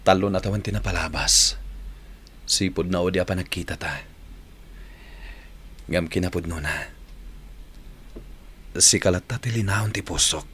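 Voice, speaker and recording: Low-voiced caring Filipino boyfriend. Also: male voice, moderate pace, pauses often, fairly bright tone, a little hiss or hum. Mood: hurt, questioning. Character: caring, earnest long-distance boyfriend, young man. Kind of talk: radio drama acting.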